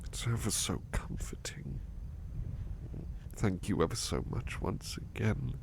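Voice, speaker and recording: A man playing a devoted, polite butler in an ASMR roleplay, speaking softly and hesitantly with courteous formality, often slipping toward a whisper. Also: fairly low pitch, takes it slowly, creaky, background noise. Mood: tender, sleepy. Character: devoted, affectionate, polite male butler comforting his companion. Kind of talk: ASMR roleplay, intimate personal attention.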